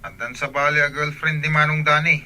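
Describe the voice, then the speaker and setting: A man's voice: on the high side, flat delivery, fairly quick, pushed and bright. A man with an Ilocano accent playing a dutiful young student and younger brother in a radio drama. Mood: earnest, concerned. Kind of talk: Filipino radio drama acting.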